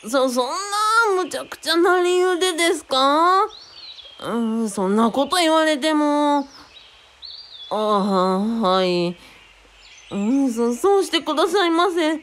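A high, animated voice for a vain, excitable cult leader, played by a woman. He talks in theatrical, fawning phrases in a radio drama, with faint noise and birdsong behind. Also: slow, full character voice. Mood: flustered, pleading. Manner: theatrical, fawning, flustered politeness.

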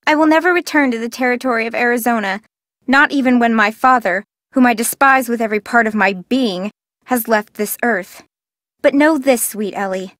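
A young Old West gentlewoman played by a woman in a video game, high in voice and formal in speech. She reads her letter solemnly, full of grief and bitterness, in a very dry recording. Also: moderate pace, no room sound, natural voice. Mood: mournful, bitter. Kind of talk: dramatic acted letter reading.

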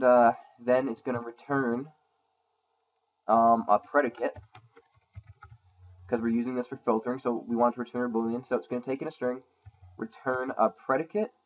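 A man talks through a coding tutorial over a screen recording, casual and unscripted, often pausing on ums while he types. His voice sounds a little rough from a sore throat, and it sits at a middling pitch. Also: muffled recording. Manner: casual, thinking aloud with many ums. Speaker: programming tutorial host. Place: at a computer, voice-over on screen capture.

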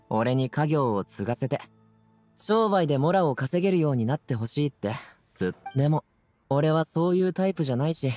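Man voicing a lively, easygoing young game character. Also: fairly high pitch, close to an everyday voice. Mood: frank, resigned. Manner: casual youthful masculine speech. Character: easygoing, headstrong young man, an aspiring stage performer. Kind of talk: anime game voice acting.

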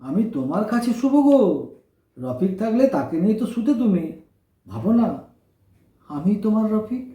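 An actor performs an affectionate, poor, motherless youngster in a radio play. The delivery is pleading and coaxing, halting over repeated words, and the sound is roomy with echo. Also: mid-range voice, very animated, warm tone, close to an everyday voice. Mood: caring, coaxing. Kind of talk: emotional Bengali audio drama acting.

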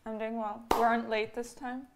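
Woman, twenties, Swiss German accent, teasing, gravelly voice. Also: on the high side, little pitch movement, background noise. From Switzerland. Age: 20s. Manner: teasing, quick retorts. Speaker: streamer guest. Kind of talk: casual banter on a livestream. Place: home kitchen.

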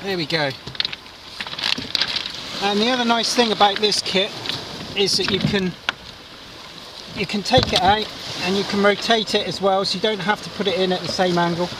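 A man's voice: sits high, fairly even intonation, moderate pace, long pauses. A middle-aged man giving a casual hands-on gear demo on a pebble beach, explaining step by step with plenty of fillers. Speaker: kayak angler reviewing gear. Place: outdoors on a pebble beach by the sea. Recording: distant mic with loud wind and surf.

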